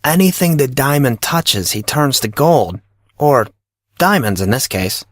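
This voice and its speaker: A man's voice: mid-range voice, lively intonation, unhurried, dry recording, studio quality. A man playing a cocky, breezy teenage schoolboy who talks in slangy, self-assured teasing for an audio drama. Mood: cocky, explaining. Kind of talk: audio drama character reading.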